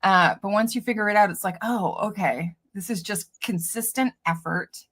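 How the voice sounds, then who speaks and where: A woman's voice: mid-range voice, normal speed, fairly bright tone, husky. A woman from Canada hosting a casual interview, chatty and laughing often as she gives business advice. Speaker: host, cleaning business coach.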